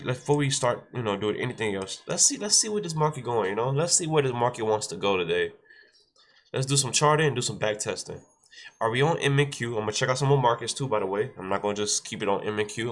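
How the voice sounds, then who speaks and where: A man with a stuffy nose hosting a day-trading livestream, repeating himself and talking straight to his chat. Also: on the low side. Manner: repetitive, exhorting, addresses chat directly. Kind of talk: casual livestream talk with a short devotional.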